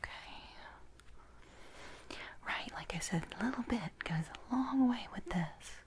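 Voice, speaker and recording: Female, breathy whisper, very slow delivery, reassuring personal-attention ASMR roleplay. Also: a little high, animated. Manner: gentle, reassuring, unhurried instructions. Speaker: ASMR creator playing a makeup artist.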